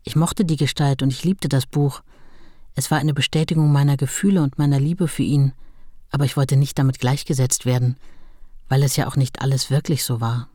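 An actress playing a writer's devoted, gently firm wife in a German radio play, speaking in a measured, plain way. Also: female voice, very deep voice, steady tone, dry recording, studio quality. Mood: gently insistent. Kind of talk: German radio play, first-person recollection and letters.

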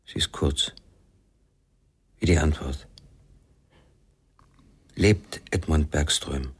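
A weary father with a low, husky voice. He speaks in short, reflective, halting phrases, troubled and searching, in the style of a radio crime play. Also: exaggerated voice. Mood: grave, insistent. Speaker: radio drama actor. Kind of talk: radio crime drama acting.

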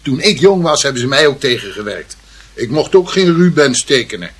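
An actor playing an outspoken, argumentative artist uncle in a Dutch radio play. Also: male voice, medium pitch, sing-song, normal speed, fairly bright tone, husky. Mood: indignant, insistent. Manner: blunt, argumentative, insistent. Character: outspoken artist uncle championing his gifted young nephew.

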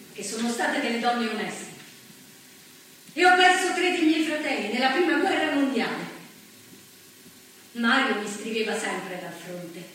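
A woman's voice: on the high side, a bit bright. An actress playing a proud, steadfast antifascist socialist woman in a solemn, declamatory stage monologue. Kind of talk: theatrical monologue, declaimed first-person testimony. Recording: echoey, distant stage recording, faint noise.

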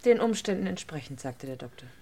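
An actress playing a guarded, subdued wife under questioning in a German radio crime drama, terse, reserved and measured. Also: female voice, fairly low pitch, animated, mellow. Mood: weary, subdued.

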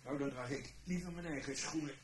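A man's voice: medium pitch, a little fast, lightly acted. Actor as fussy sardonic man, noisy recording. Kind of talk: Dutch radio play acting. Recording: noisy radio play recording.

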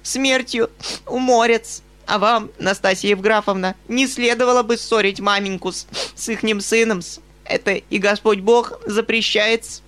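A man narrating an audiobook voices a spiteful female hanger-on who speaks in a sharp, indignant, falsely servile way. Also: sits high, steady tone, measured pace, clean tone, voice pushed up. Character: spiteful middle-aged female hanger-on, shrill and sniping.

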